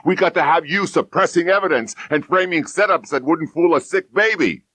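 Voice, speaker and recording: A man's voice: fairly high pitch, normal speed, pushed and bright, no echo, voice pushed up. A man voicing a bitter, exhausted, hard-boiled police detective in an audiobook crime story, ranting and aggrieved in slangy speech. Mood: bitter, worn-out rant. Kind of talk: dramatised audiobook crime dialogue.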